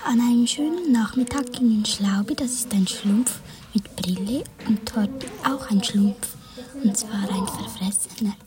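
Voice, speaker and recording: A woman's voice: fairly high pitch, unhurried. Whispering woman telling a story. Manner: whispering, wandering asides.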